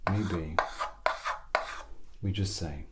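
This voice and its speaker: A British man softly giving an ASMR English lesson as a teacher, breathy and often near a whisper, with an awkward, self-deprecating, gently encouraging manner. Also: low voice, drawn-out words, very noisy. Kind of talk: softly spoken ASMR grammar lesson roleplay.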